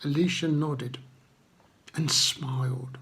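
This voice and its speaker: A man telling stories to children and addressing them gently, with simple, unhurried phrasing and guiding questions. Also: a little high, steady tone, slightly rough. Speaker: children's Bible storyteller.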